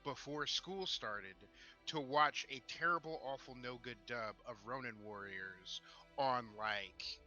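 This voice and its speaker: A man co-hosting a casual chat in a halting way, often repeating words as he starts a thought. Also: medium pitch, sharp tone, highs cut off, soft background music. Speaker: podcast co-host.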